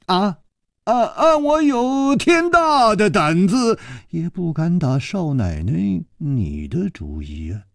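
A jovial, quick-witted swordsman of a storybook tale, voiced by a man, laughing and sighing through his banter in a raised, lively voice. The sound is close and dry, with no room around it. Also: fairly high pitch, dry recording, voice pushed up, heavily acted. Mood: wry sighing. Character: gallant witty male swordsman hero, teasing.

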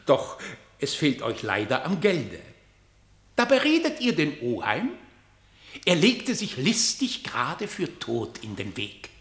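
A man's voice: fairly high pitch, bright, forceful tone, reverberant, full character voice. An actor playing a sly badger in a radio play, pleading in rhetorical, mock-courtly verse for his rascal uncle. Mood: eager, dramatic.

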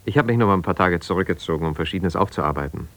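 An actor playing an ambitious, self-justifying lawyer in a German radio drama, speaking haltingly and conversationally as if on the phone. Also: male voice, low-pitched, fairly bright tone, dry recording. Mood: measured, advising. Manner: conversational, halting, with repeated phrases and pauses.

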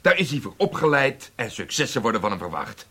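A man's voice: medium pitch, big pitch swings, brisk, a bit bright, breathy edge. A stern, impatient spy chief in a radio play. His mid-range voice swings widely with emphasis as he speaks with demanding, indignant authority. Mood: dissatisfied, stern. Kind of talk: Dutch radio drama acting.

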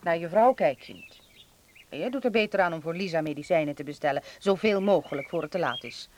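A man's voice: a little high, expressive, quick pace, a little hiss or hum, lightly acted. A man acting a sardonic, principled patriot in a Dutch wartime radio drama, with a biting and indignant way of talking. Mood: sharp rebuke.

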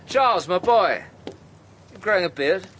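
An actor playing a middle-aged, avuncular police inspector in a radio drama, brisk, kindly and matter-of-fact. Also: male voice, high-pitched, animated, fairly bright tone, a little hiss or hum.

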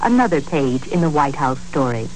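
A man's voice: fairly high pitch, big pitch swings, moderate pace. A man announcing on old radio, delivering scripted historical narration. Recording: noisy old radio recording.

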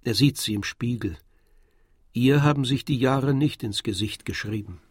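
A man narrating a literary radio drama from a script in a measured storytelling manner. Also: medium pitch, husky, no echo. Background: faint music.

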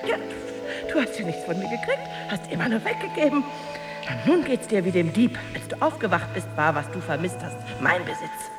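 An actress in a radio drama playing a jealous, bitter married woman, a taunting and volatile rival delivering a theatrical monologue. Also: on the high side. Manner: emotionally volatile, theatrical, swinging between hushed and outburst.

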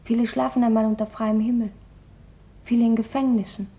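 A woman playing a reflective teenage girl in hiding, reading her diary in a measured, lyrical way for a radio feature. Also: a little high, flat delivery, muffled recording, lightly acted. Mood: wistful, yearning.

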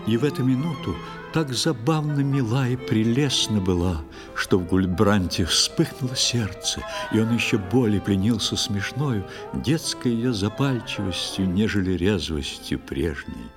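A man's voice: on the low side, expressive, unhurried, few pauses. A man narrating a verse fairy tale as a dramatic storyteller in an audio play. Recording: music under the voice.

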